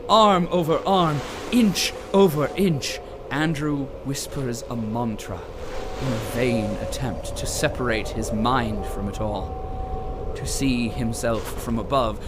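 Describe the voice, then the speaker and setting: A man narrating an audio drama from a script in a measured, grave storytelling manner. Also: medium pitch. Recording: noisy ambient sound bed behind.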